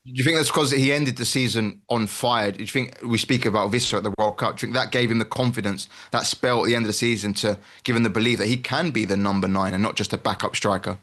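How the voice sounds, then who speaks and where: A man's voice: on the low side, steady tone, fast talker, hardly any pauses, fairly bright tone. A man giving conversational tactical analysis as a football pundit on a panel.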